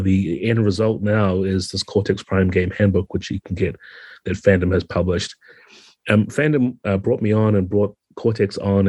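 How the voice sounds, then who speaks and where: A man's voice: sits low, warm tone, no room sound. A man speaking as a guest game designer in a podcast interview, explaining things in a conversational way.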